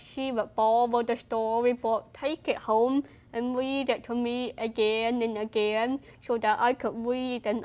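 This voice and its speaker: A woman in her twenties telling her own story in a measured, reflective way. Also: a little high. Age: 20s. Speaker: young adult sharing her life story. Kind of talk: personal testimonial to camera.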